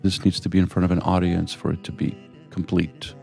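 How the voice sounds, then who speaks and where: Male radio host, very low and gravelly voice, eager and rambling, light music under the talk. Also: completely flat delivery, fairly quick, darkish tone. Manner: enthusiastic, rambling storyteller. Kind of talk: interview. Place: venue in Lisbon with music behind.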